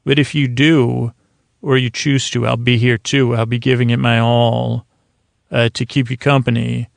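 A middle-aged man with a creaky voice hosts a sleep podcast, giving a gentle, soothing bedtime monologue that meanders, halts and interrupts itself. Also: mid-range voice, flat delivery, moderate pace, no room sound.

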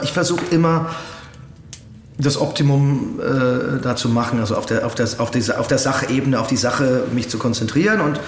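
Man, medium-pitched, thoughtful interview guest, hesitant delivery, echoey room, slight background noise. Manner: hesitant, searching for words, halting with pauses. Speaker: interview guest, audio drama voice actor. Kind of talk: reflective interview answers. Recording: roomy echo with faint background noise.

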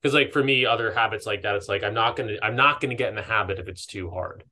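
Bright-voiced man, rambling podcast chat. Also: lowish voice, fast. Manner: hesitant, rambling, self-interrupting. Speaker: podcast co-host. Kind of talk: casual podcast conversation.

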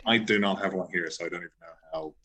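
A man's voice: low voice, animated, racing, vocal fry, crowd noise. A young man chatting as a guest, wry and self-deprecating, laughing easily. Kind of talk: casual chat.

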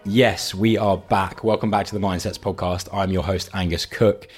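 A man hosting a podcast, hoarse from losing his voice, asking long, rambling questions in friendly chat. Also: low voice, steady tone, normal speed, faint music behind. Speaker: podcast host. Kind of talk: casual interview chat.

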